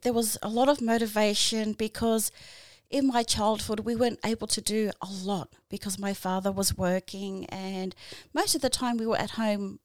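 Australian woman in her forties, halting. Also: medium pitch, dry recording. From New Zealand. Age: 40s. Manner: halting, many fillers and restarts. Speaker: podcast guest, volunteer. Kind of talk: casual interview answers.

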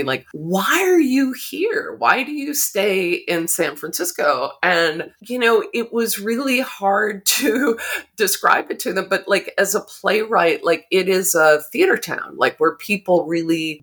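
A middle-aged woman with a notably low voice tells her stories in a loose, chatty way. She is animated and laughs often mid-sentence, speaking as a guest in a relaxed interview. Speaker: guest, author and drama therapist. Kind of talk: casual interview storytelling.

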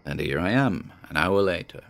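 A low-pitched British actor plays an obsessive amateur sleuth keeping an audio log. He is chatty and wry, often interrupting himself as he talks through his findings in this audio drama. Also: lively intonation, moderate pace, gravelly. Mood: absorbed, uneasy. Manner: informal, self-interrupting, wry. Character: obsessive, chatty amateur investigator grandson named Nigel.

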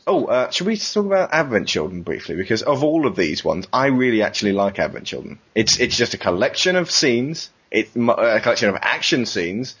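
A man's voice: mid-range voice, sing-song, brisk, few pauses, a bit bright, husky, muffled recording. A man in a casual podcast discussion, talking in a wry, rambling, sarcastic way. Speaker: podcast panelist.

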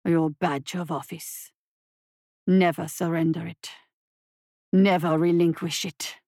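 A woman voicing an ancient, cruel male tortoise monster who rumbles and growls in slow, dismissive pronouncements for a fantasy story. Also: flat delivery, a little mellow, no room sound, studio quality. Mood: grave, commanding.

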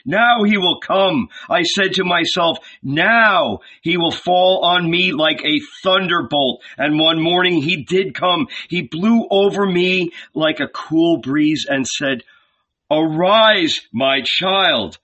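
A man's voice: high-pitched, sharp tone, muffled recording, pitched above the performer's own voice, full character voice. A man voicing an impassioned male prophet who preaches to a crowd in a raised, imploring voice. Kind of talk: audiobook character voice.

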